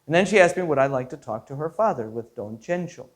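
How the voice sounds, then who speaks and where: A man with a slightly high voice speaks as a pastor sharing a devotional story. His delivery is earnest and measured, with phrases set out one at a time and the odd repeated word. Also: expressive. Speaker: Lutheran pastor.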